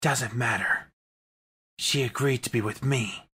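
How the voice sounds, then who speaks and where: A man voicing a brooding, aloof hedgehog anti-hero in a cartoon comic dub, terse, cold and deadpan, with gravel in the voice. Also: mid-range voice, measured pace, exaggerated voice. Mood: matter-of-fact. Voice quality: husky, gravelly. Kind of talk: fan comic dub acting.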